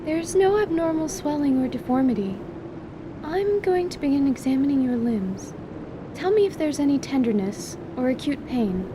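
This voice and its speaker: Placid female surgeon for fantasy audio drama woman actor, high-pitched, formal, precise bedside manner, faint noise behind. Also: lively intonation, normal speed. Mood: clinical, calm. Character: female field healer, placid, clinical and earnestly inquisitive.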